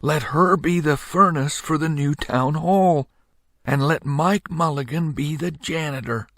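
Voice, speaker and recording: A man's voice: on the high side, unhurried, creaky, a bit bright, no echo, studio quality, pitched above the performer's own voice. A man voicing an eager little boy in a children's storybook reading. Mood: earnest, hopeful.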